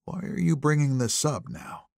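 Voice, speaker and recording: Guy narrating as rich older boss man in an audiobook, courteous but in charge, gravelly. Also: a little high, big pitch swings, normal speed, darkish tone, no echo, studio quality, pitched above the performer's own voice. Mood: uneasy. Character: wealthy older male patriarch, commanding and courteous. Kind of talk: audiobook character dialogue.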